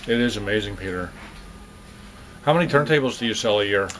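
A man's voice: on the low side, animated, fairly quick, background noise. A middle-aged man interviewing during a warehouse tour, mostly brief affirmations, recorded on a camera mic. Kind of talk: casual questions. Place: large warehouse, indoors.

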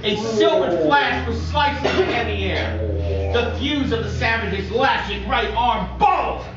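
A man's voice: very high voice, animated, measured pace, music in the background. A man giving a theatrical live dramatic reading at a convention panel. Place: hotel conference room with audience. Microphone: far camera microphone. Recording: echoey conference room, distant, dull, audience noise.

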